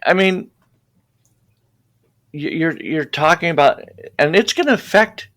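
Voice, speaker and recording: A man hosting a podcast, talking in an earnest, halting way and often repeating his words. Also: fairly high pitch, a bit bright. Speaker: podcast host. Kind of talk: conversational interview.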